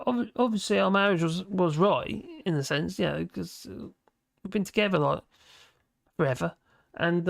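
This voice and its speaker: Male, 50s, livestream host; rambling, self-mocking, gravelly fry, close dry sound. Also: high-pitched, no room sound. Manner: chatty, chuckling, self-mocking asides. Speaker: livestream host reading chat. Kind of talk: casual rambling chat. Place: home office at his desk.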